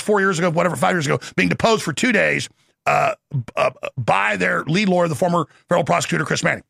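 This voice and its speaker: A man's voice: sits high, creaky, sharp tone, dry recording, clean studio sound. A man hosting an interview, ranting in an intense, rambling monologue. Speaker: show host.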